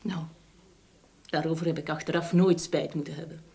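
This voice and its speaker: An actress playing a frank, scornful, devoted middle-aged wife in a radio drama, with an accent from the Netherlands. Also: female voice, low voice, fairly even intonation, normal speed, vocal fry, mellow. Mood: hurt, resigned. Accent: Dutch from the Netherlands. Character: outspoken, wronged but devoted middle-aged Dutch wife. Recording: old radio play with background hiss.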